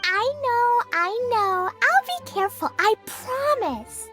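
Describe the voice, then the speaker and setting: A woman's voice: very high-pitched, expressive, slow, music in the background, exaggerated voice. A woman voicing a cheerful, eager, innocent little girl, a video game character. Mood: cheerful, reassuring.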